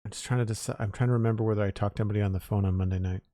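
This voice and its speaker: A man streaming a building game, talking casually in a raspy, slightly hoarse voice as he thinks aloud in an unhurried, rambling way. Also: on the low side, fairly even intonation, warm tone, dry recording, clean studio sound. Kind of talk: casual live-stream chat while building.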